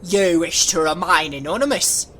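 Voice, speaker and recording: A woman voicing a courteous gentleman collecting donations for the poor, with a formal, polite manner. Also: on the low side, expressive, moderate pace, slight background noise. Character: polite, well-meaning gentlemen collecting for charity.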